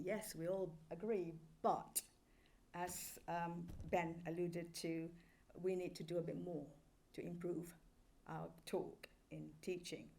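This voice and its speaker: Lower-pitched woman lecturer from Malaysia. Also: dark tone. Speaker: professor giving an inaugural lecture. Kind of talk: public lecture, semi-scripted. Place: lecture hall with a live audience.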